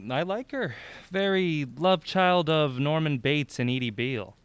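Actor as a playful man, clear smooth voice. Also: fairly high pitch, measured pace, no room sound, close to an everyday voice. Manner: teasing, arch. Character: playful, flirty man on a road trip, teasing his friend. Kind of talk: audio drama acting.

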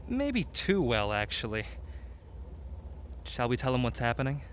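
A man playing a playful, polite young traveler in an audio drama, with a teasing, expressive manner. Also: mid-range voice, unhurried, slight background noise. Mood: polite, hesitant.

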